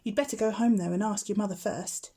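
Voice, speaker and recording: A woman's voice: mid-range voice, fairly quick, husky. A woman voicing a cheerful, teasing older boy, a matter-of-fact scout in a storybook. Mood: friendly. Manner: breezy, exclaiming, gently mocking.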